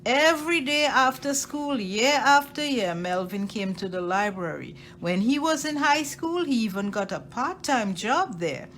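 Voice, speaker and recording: A woman reading a children's picture book aloud expressively, with deliberate phrasing and emphasis on key words. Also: on the high side, lively intonation, fairly bright tone, slight background noise. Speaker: storytime reader at a library.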